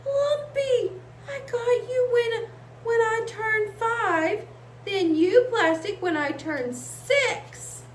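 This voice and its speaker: A woman voicing an excitable, affectionate little birthday girl in a storybook, gushing her announcements. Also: very high-pitched, extremely slow, voice pushed up, full character voice. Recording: echoey room with faint hiss.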